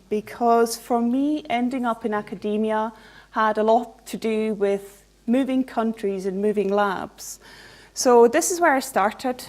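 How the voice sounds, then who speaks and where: A woman's voice: fairly high pitch, a little mellow. Swiss woman giving a candid conference talk. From Switzerland. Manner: candid and conversational, with dry humor. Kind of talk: informal conference presentation.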